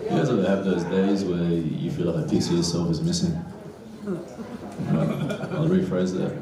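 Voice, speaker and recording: A man's voice: low-pitched, lively intonation, moderate pace, background noise. Male stand-up comic from Iraq, blunt and crude, heard from afar in an echoing club. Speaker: stand-up comedian. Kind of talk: stand-up comedy set. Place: comedy club stage. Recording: roomy club sound from a distance with loud crowd laughter.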